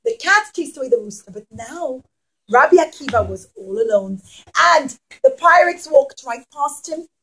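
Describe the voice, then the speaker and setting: A woman storyteller teaching young children, speaking dramatically with emphatic pauses and questions to her listeners. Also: high-pitched, sing-song, pushed and bright, rough recording.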